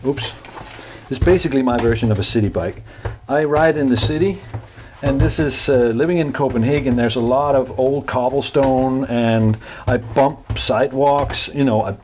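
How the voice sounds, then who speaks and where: A man's voice: mid-range voice, darkish tone, a little hiss or hum. A man with a Danish accent, talking casually in English while he replaces bike tires, with lots of fillers and restarts. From Denmark. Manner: halting, many fillers and restarts, chatty asides. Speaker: DIY maker vlogger.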